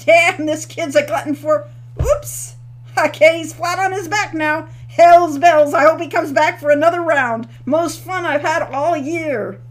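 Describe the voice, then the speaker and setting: A woman voicing a coarse older male stable master in an audiobook, loud and jeering. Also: high voice, fairly even intonation, measured pace, pitched above the performer's own voice.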